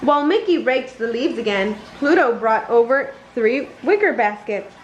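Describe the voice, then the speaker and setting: A woman narrating a children's bedtime story in a deliberate read-aloud style. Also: a little high, animated. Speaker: children's book reader. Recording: roomy echo, noticeable background noise.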